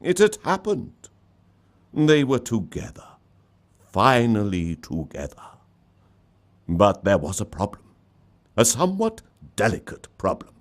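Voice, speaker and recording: Male story reader, low register, very animated swings, theatrical and mock-solemn. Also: a little slow, long pauses. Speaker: storyteller reading own short story. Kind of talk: dramatic scripted story narration.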